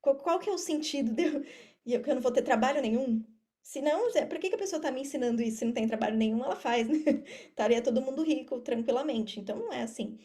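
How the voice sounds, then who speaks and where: Mellow, higher-pitched Brazilian woman. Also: fast talker. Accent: Brazilian Portuguese. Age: 30s. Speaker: podcast guest, affiliate marketer and mentor. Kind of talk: conversational interview answers.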